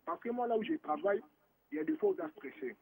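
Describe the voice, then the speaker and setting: A man's voice: very high voice, sing-song, fast talker, vocal fry, a little mellow, a little hiss or hum. A man born in Morocco, calling in to a radio show and talking in a rambling, casual way, mixing in Lingala. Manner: rambling, restarts often, mixes French and Lingala. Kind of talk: casual phone-in chat. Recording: phone line on the radio.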